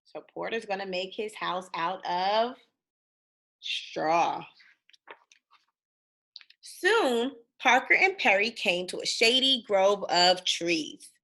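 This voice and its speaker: A woman's voice: mid-range voice, animated, slow, long pauses, pushed and bright. A woman reading a children's picture book aloud expressively, stopping to put questions to young listeners. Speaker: storybook reader. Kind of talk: animated read-aloud of a children's storybook.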